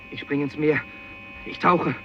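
A man's voice: on the high side, steady tone, unhurried, background noise. A man acting a panicked, desperate fisherman in a 1950s radio play, exclaiming frantically. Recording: dull old radio recording.

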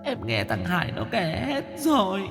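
A man's voice: high-pitched, huge pitch swings, normal speed, creaky, a bit bright, in a large hall, faint music behind, pitched above the performer's own voice, full character voice. A man voicing a jealous young female ghost in an audio story, whining and scolding with tearful questions. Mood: tearful, accusing.

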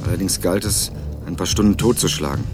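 An actor playing a wry, laconic young student who narrates and speaks in a crime radio play with dry irony. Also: male voice, sits low, brisk, over music, natural voice. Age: young adult. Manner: dry, ironic. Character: wry, laconic young male student investigating his father's death. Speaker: narrator and protagonist.

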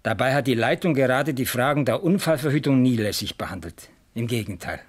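An actor playing an earnest East German factory manager in a German radio crime drama, formal, careful and a little defensive. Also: male voice, medium pitch, lively intonation, fairly quick, lightly acted. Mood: shocked, defensive.

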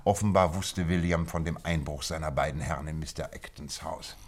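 A shrewd gentleman detective in a radio play, with a very low voice. He speaks eloquently and with great self-assurance, laying out each point methodically and with theatrical emphasis. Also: steady tone, a little fast, a little hiss or hum. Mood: grave explaining. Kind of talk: German radio drama acting.

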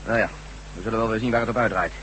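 An actor playing a brisk, cool-headed general with a dry, commanding manner in a science-fiction radio drama. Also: male voice, on the low side, steady tone, fairly bright tone, natural voice. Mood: dry, calm. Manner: brisk, commanding, drily teasing. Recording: noisy hissy old radio recording.

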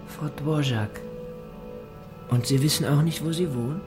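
A man playing a menacing, falsely courteous Gestapo interrogator in a radio play, speaking with slow, insinuating deliberation. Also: on the high side, lightly acted. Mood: quietly menacing. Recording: music under the voice.

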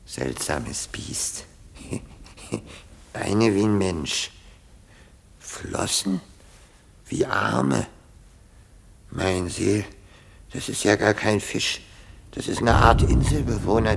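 An actor playing a timorous, puzzled comic court jester in a radio play, muttering and wondering aloud in short fragments. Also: male voice, fairly low pitch, slow pace, creaky, pauses often, husky, full character voice. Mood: puzzled, curious. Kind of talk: German radio play acting, comic monologue.

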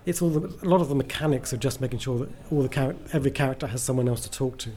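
A man's voice: medium pitch, rapid-fire, mellow. A man being interviewed as a writer, chatting casually and enthusiastically, often rambling and breaking into his own sentences.

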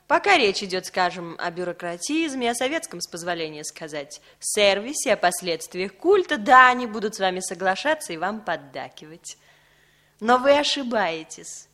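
A woman's voice: medium pitch, bright, forceful tone. An actress playing a cool, calculating female secret agent in a 1960s Soviet radio play, speaking in an articulate, self-assured, lecturing way.

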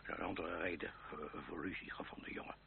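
An actor playing a gruff, fond old uncle in a radio play, halting and gently scolding, with a creaky old voice. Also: male voice, low voice, flat delivery. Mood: musing, exasperated. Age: older. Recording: noisy, muffled old radio recording.

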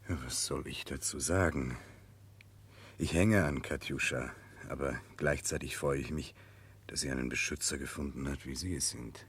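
A man's voice: very deep voice, normal speed, slightly rough, full character voice. A man playing an earnest, dutiful prince in a 1960s German radio drama, grave and hesitant in his speech. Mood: dutiful, resigned. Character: Russian prince, earnest, dutiful, burdened by conscience.

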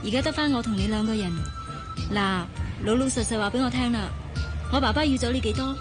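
An actress with a Hong Kong Cantonese accent playing an earnest, principled young bride-to-be who speaks candidly in a radio drama. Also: female voice, a little high, brisk, over music, lightly acted. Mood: probing. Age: young adult.

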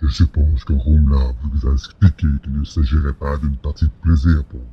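A man playing an elderly alien supreme leader, dignified, genial and formally courteous, with a gravelly voice in an audio drama. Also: a bass voice, fairly even intonation, moderate pace, dull audio, slight background noise. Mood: courteous, commanding.

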